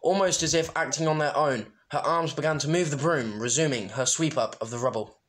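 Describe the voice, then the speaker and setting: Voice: mid-range voice, a little fast, fairly bright tone. An amateur reader narrating a story and breaking into informal, self-deprecating asides. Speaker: amateur fan fiction reader.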